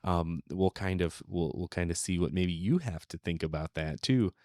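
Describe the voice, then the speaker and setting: A man's voice: deep voice, normal speed, a little mellow, no echo, studio quality. A man co-hosting a podcast, talking casually and without a script. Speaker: podcast co-host. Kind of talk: casual, unscripted podcast chat.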